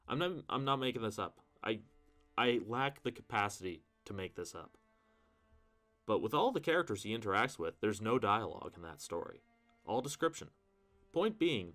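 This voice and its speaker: A man's voice: lowish voice, a bit bright. A man giving casual literary commentary as a video host, with a wry, self-deprecating manner and mildly sweary asides. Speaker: video host reviewing horror fiction.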